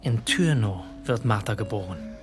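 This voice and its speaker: A man narrating a literary radio drama from a script, measured, in short poetic phrases. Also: lowish voice, lively intonation, music in the background.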